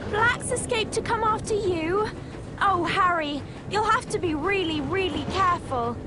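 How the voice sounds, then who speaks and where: A woman's voice: very high-pitched, a little slow, background noise. A woman voicing an earnest, bossy, worried schoolgirl in a video game cutscene.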